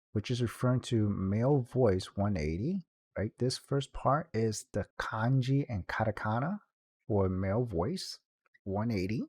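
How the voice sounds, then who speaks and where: A man's voice: mid-range voice, mellow, slightly rough, no room sound. A man narrating a casual on-screen walkthrough, explaining things step by step as a video creator. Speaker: video creator giving a game guide.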